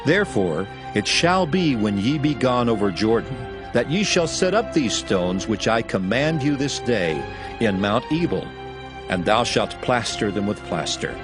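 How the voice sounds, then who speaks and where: A man's voice: medium pitch, sing-song, unhurried. An actor playing a solemn, commanding lawgiver who instructs his people, in a dramatized scripture reading. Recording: music bed beneath voice.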